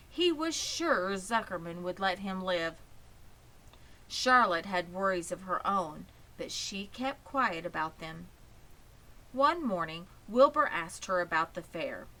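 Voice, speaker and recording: A woman narrating a children's story in an expressive, measured way. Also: mid-range voice, poor audio. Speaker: audiobook reader.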